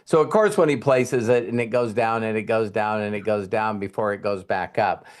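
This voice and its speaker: A man's voice: fairly low pitch, moderate pace. A man hosting a casual group call, giving frank, informal trading advice with some mild swearing. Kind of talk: casual coaching chat.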